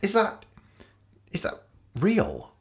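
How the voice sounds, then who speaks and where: A man's voice: sits high, huge pitch swings, takes it slowly, breathy edge, heavily acted. An actor playing a panicked, self-loathing young man who uses drugs, stammering and hesitant, in a naturalistic audio drama. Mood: frightened, panicked.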